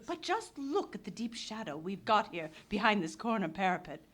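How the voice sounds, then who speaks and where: Woman playing a plucky, teasing, wildly expressive adventuress. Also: mid-range voice, breathy edge. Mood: teasing, mocking. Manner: brisk, theatrical, mocking, flirtatious. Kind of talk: live radio play acting. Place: theatre stage, live performance.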